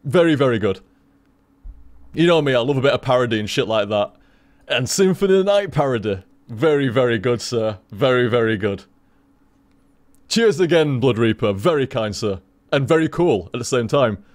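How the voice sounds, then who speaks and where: A young man with a softened Yorkshire accent, rambling casually to his stream chat, swearing freely and laughing often. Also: medium pitch, lively intonation, moderate pace, no echo. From Leeds, Yorkshire, England.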